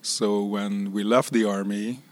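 A man in his sixties from Israel and Curaçao, telling his life story in an interview at an unhurried pace that trails off softly at phrase ends. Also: on the low side, level tone. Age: 60s. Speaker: interview guest. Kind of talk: relaxed personal storytelling.

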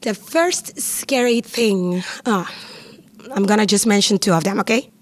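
Lively woman telling her story. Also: medium pitch, unhurried. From Curaçao. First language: Papiamentu. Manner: lively, chatty, laughs often. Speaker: interviewee. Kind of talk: personal storytelling.

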